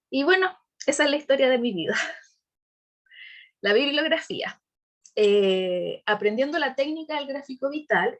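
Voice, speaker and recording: A woman with a Chilean Spanish accent and a slightly high voice speaks to an online audience. She often hesitates and restarts her sentences. She tells her story candidly and laughs now and then. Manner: hesitant with frequent fillers and restarts. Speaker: patient giving a talk. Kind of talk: informal presentation on a video call.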